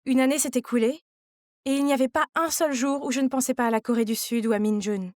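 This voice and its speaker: A woman narrates a scripted story in the first person as a young heroine. Her voice is high and confiding, and the recording is very dry. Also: steady tone, fast, a little mellow, no echo.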